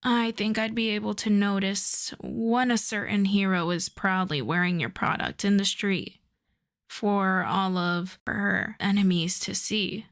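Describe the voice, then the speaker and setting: A woman's voice: medium pitch, steady tone, fairly bright tone. A woman playing a wry, flirtatious female supervillain coaxing a favor, in an intimate, conversational ASMR roleplay style. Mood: persuasive, wry. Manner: unhurried, teasing, conversational.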